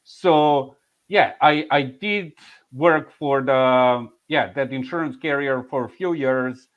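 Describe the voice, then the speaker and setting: A man in his forties with a Serbian accent tells his story in a loose, halting way, restarting often and laughing softly. His pitch sits in the middle range. The audio is a bit muffled and lo-fi. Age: 40s. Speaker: insurance claims manager. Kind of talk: casual interview answers.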